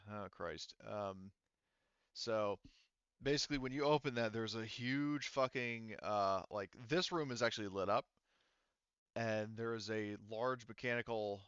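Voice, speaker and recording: A man's voice: lowish voice, a bit bright, clear, resonant tone, no echo. Dry, dull-sounding man running a tabletop game. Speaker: game master. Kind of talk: casual improvised narration of a tabletop game.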